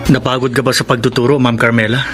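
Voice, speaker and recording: A man's voice: mid-range voice, level tone, fast talker, over loud music, natural voice. A man playing a reassuring, supportive teacher colleague in a Filipino radio drama. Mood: concerned, reassuring. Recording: noisy ambience behind voice.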